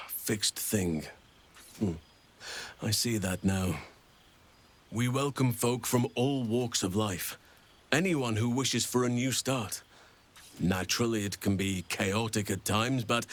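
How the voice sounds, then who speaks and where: An actor playing a gentle, wise, warm-hearted druid in a fantasy video game, speaking in formal, courtly, unhurried phrases. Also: male voice, on the low side. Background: music.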